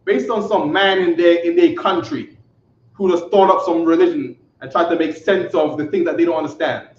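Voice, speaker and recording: A man's voice: on the high side, moderate pace. A man teaching a live online scripture class, emphatic and rhetorical, often checking that listeners follow. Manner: emphatic, keeps asking "you see what I'm saying?". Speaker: religious teacher. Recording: echoey, distant, muffled.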